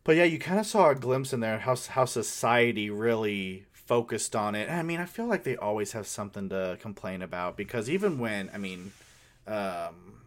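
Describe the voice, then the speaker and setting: A man's voice: on the low side, animated, normal speed. Casual, rambling male co-host. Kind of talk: casual conversation about tabletop gaming.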